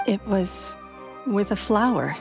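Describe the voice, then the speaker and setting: A woman playing a gentle, wistful young woman in a video game, speaking tenderly and reflectively. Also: medium pitch, lively intonation, takes it slowly, vocal fry. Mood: fond, nostalgic. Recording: soft background music.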